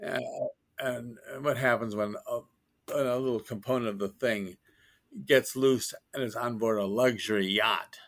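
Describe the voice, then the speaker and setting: A man's voice: mid-range voice, fairly even intonation, moderate pace, fairly bright tone. Guy author telling wry stories on a podcast, jokey, lots of restarts. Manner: wry, joking storyteller with restarts. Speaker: guest, science fiction author. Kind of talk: casual interview chat.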